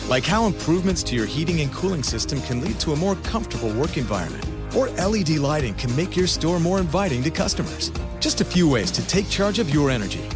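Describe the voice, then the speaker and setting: A man with a fairly high, lively voice reads a polished commercial. Music plays clearly under him. The sound is narrow and phone-like. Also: quick pace. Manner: upbeat, polished ad read. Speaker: ad announcer. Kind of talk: scripted radio commercial. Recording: phone-like band with music behind.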